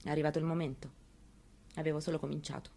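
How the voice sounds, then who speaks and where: An actress performing a first-person theatrical monologue reading, in a measured, intimate and quietly intense way. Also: female voice, sits low, level tone, creaky, slight background noise. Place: radio broadcast of a stage reading.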